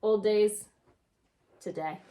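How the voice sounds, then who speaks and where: A woman's voice: mid-range voice, slow pace, dark tone, a little hiss or hum. A woman teacher reading a children's storybook aloud with chatty asides, putting questions to young listeners and answering them. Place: indoor reading nook at home.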